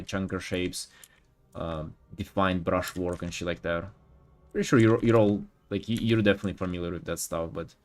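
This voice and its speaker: A low-voiced man, a native Russian speaker, chats casually on a livestream as he paints. His talk rambles and halts, full of slang and swearing. Faint music plays behind him. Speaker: art streamer.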